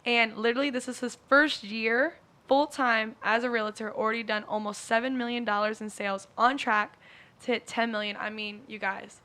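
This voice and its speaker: A woman's voice: on the high side, steady tone, normal speed, sharp tone. A young woman hosting a podcast, chatting casually and enthusiastically with lots of fillers. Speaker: podcast host. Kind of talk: casual interview chat.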